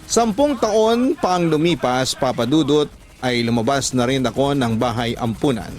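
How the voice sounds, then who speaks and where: A man with a Filipino accent narrating a scripted story as a radio drama host, in an emotive, deliberate storytelling delivery. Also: medium pitch, expressive. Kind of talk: scripted dramatic narration of letter-sender stories. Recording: voice over background music. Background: music.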